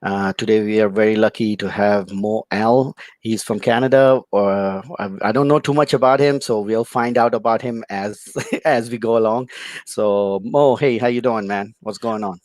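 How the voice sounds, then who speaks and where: A man hosting a casual interview chat, friendly and relaxed, with frequent short acknowledgements. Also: fairly low pitch, normal speed, no room sound. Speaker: host.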